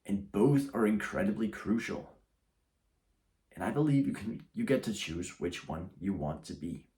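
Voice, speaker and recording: Low-voiced young man rambling to camera. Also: moderate pace, mellow. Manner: rambling with frequent restarts. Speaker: YouTuber. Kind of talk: casual talk to camera.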